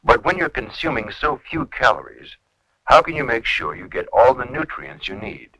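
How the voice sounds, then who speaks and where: A man's voice: mid-range voice, big pitch swings, moderate pace, vocal fry, bright, forceful tone, slightly rough, low-quality recording. An American man reading scripted narration for a vintage educational film. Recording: 1970s educational film soundtrack, faint music.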